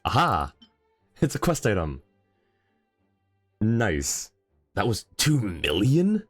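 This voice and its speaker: A man's voice: fairly low pitch, huge pitch swings, slow, no room sound, faint music behind. A man livestreaming a game in a casual, chatty way, thinking aloud and talking to his chat.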